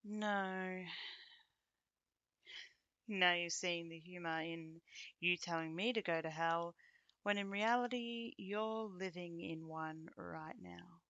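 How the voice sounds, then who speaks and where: Casting: woman to play a bitter young ex-girlfriend for ASMR roleplay; sardonic, playfully menacing, unhurried delivery, close dry sound. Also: lowish voice, fairly bright tone, dry recording, dull audio. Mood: taunting, cold. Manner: teasing, sardonic, with dry chuckles and pointed pauses. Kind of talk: ASMR roleplay monologue to the listener.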